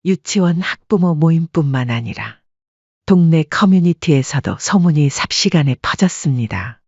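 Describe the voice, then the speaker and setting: A woman's voice: sits low, expressive, moderate pace, darkish tone, slightly rough, no room sound, dull audio. A woman working as narrator, reading a scripted dramatic story.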